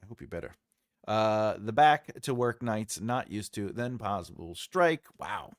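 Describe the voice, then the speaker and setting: A man's voice: on the low side, lively intonation, measured pace, dry recording, studio quality. A man hosting a writing livestream, chatting casually and jokingly as he reads and answers viewer comments, rambling with lots of fillers.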